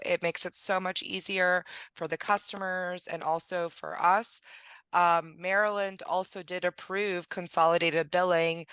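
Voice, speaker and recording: A woman walks listeners through a billing process on a video call, friendly and explanatory with a scattering of ums. She is getting over a cold and sounds slightly gravelly. Her tone is bright and crisp, and the sound is close and dry. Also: lowish voice, no echo. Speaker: billing presenter for a solar company. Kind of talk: webinar presentation.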